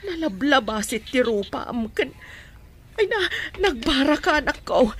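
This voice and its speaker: An actress playing a worried mother who rushes her sick daughter to hospital, anxious and calling out loudly. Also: high-pitched, big pitch swings, slight background noise, full character voice. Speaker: radio drama actor. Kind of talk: radio drama acting.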